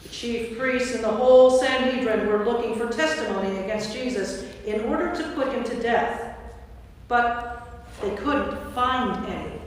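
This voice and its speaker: A woman's voice: on the high side, measured pace. Echoing woman narrating scripture. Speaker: narrator, priest. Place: church, recorded from a distance. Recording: very echoey church, distant mic, faint noise.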